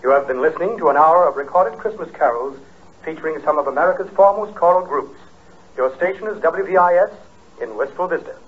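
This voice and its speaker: A narrow, tinny old radio sound carries a man playing a formal station announcer. He is brisk and quick, with a fairly high voice. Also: lightly acted.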